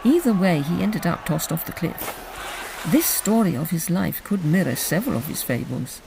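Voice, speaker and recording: A woman's voice: fairly low pitch, expressive, moderate pace, gravelly, background noise. A woman hosting a podcast and reading scripted storybook narration.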